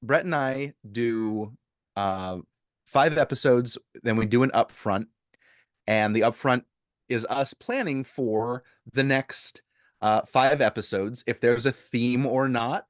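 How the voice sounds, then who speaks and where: A man co-hosting a podcast in casual chat while getting over the flu, explaining things in a playful, halting way. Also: mid-range voice, no room sound, studio quality. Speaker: podcast co-host.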